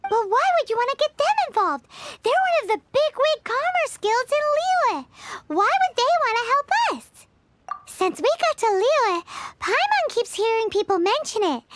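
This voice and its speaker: A woman voicing a squeaky, childlike fairy companion in a video game, cheeky, excitable and blunt. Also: normal speed, noisy background. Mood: cheeky, questioning. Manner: refers to herself in third person, blunt and teasing. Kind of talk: animated game voice acting.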